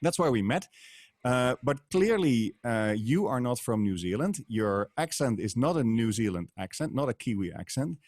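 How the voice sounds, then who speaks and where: A middle-aged man hosting a podcast over a video call, asking measured questions with hesitations. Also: medium pitch, expressive, a little mellow, no echo, clean studio sound. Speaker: podcast host. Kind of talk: casual interview. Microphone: headset, mic on stand.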